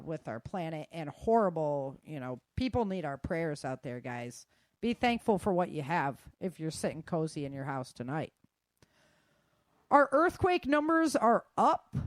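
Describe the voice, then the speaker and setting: A woman's voice: mid-range voice, no room sound. A woman hosts a podcast in an informal, chatty style. She goes through news items as if talking straight to her listeners. The sound is dry, with very little room on her voice. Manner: conversational, addressing listeners informally. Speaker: podcast host. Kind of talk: casual news roundup on disasters and weather.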